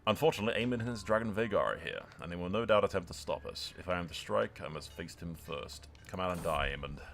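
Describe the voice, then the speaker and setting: A man's voice: sits low, expressive, quick pace, gravelly, fairly bright tone, a little hiss or hum, pitched below the performer's own voice, full character voice. A man voicing a grim, menacing warrior prince, narrating a dragon battle in solemn, theatrical fantasy roleplay.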